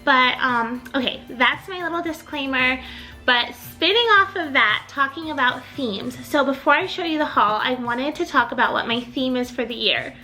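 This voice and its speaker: A woman's voice: high voice, normal speed, bright, forceful tone, faint music behind. A young adult woman chatting in a rambling, upbeat way as a home decor vlogger, her voice a little off while she gets over being sick. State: recovering from illness, voice a little off. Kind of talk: casual chatty vlog.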